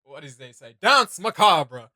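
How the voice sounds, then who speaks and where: A man co-hosting a casual reaction video, animated and jokey. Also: sits high, a little slow, dry recording.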